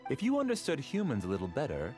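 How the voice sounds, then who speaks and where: A man's voice: mid-range voice, wildly swooping pitch, fast talker, a little mellow. An actor playing a self-righteous male villain who rules a dream world, theatrical and mocking in a video game. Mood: pleading. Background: faint game music.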